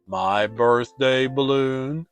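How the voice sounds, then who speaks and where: Man doing a slow, gloomy storybook donkey. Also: mid-range voice, clean tone, soft background music. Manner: slow, drawn-out, gloomy delivery. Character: gloomy old grey donkey, slow and mournful.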